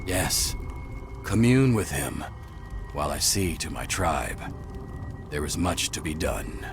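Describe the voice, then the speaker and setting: An actor voicing a serene, devout male cult leader in a video game, soft-spoken and formal. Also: extremely low pitch, big pitch swings, takes it slowly, music in the background, exaggerated voice.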